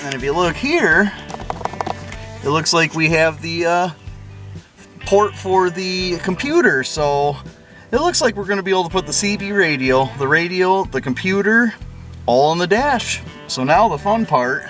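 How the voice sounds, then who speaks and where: A man's voice: high-pitched, expressive, unhurried, a bit bright, soft background music. A man talking informally to viewers as he explains a hands-on car project, in a do-it-yourself vlog style. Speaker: car restoration vlogger. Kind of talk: casual do-it-yourself vlog narration.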